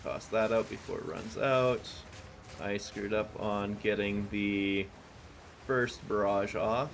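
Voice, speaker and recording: A man with a somewhat low voice talks with his stream chat as he plays, in a relaxed, rambling way. A faint hum of ambience sits under the voice. He drifts between musings and short remarks about the game. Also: slow, gravelly. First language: English. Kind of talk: casual chat while gaming.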